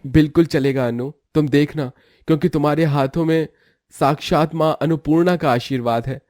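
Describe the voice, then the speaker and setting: Supportive, earnest husband in cartoon tale, male actor, gentle manner, dry recording. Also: fairly high pitch, steady tone, moderate pace, no room sound, natural voice. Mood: encouraging.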